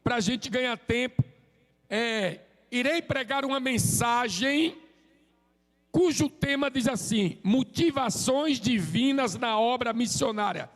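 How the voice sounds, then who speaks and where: High-voiced preacher. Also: male voice, takes it slowly, a bit bright, in a large hall. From Sergipe, Brazil. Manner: joking, chatty, drops "amém?" tags. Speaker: visiting preacher. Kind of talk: informal sermon with crowd call-and-response. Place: church sanctuary.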